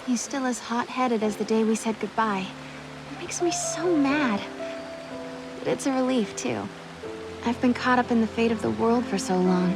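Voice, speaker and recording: An actress playing an earnest, gentle young heroine in a video game cutscene. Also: female voice, on the high side, moderate pace, music in the background, natural voice. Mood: wistful.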